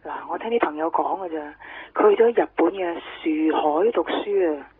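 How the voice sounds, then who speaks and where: Husky Hong Kong gal pal, urgent and secretive, thin phone-like radio drama audio. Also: female voice, sits low, normal speed, no room sound. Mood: urgent, confiding. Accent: Hong Kong Cantonese. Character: urgent, secretive young female friend passing on gossip. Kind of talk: 1990s Cantonese radio drama acting. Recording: tinny phone-like radio recording.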